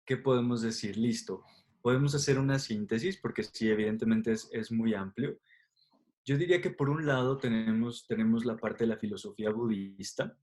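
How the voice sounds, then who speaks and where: A man's voice: mid-range voice, darkish tone. A man with a Mexican accent answering interview questions as a Buddhist teacher, explaining didactically with rhetorical questions and tags.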